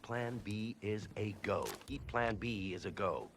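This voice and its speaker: An actor playing a smug, scheming secret-society leader in a video game, speaking in grandiose, theatrical speeches. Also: male voice, mid-range voice, very animated, unhurried, background noise. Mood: smug, menacing. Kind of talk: dramatic video game acting.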